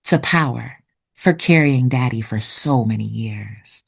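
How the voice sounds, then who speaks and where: A woman reading a children's picture book aloud expressively, with deliberate storytelling pauses. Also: very deep voice, gravelly, slightly rough. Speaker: children's read-aloud narrator.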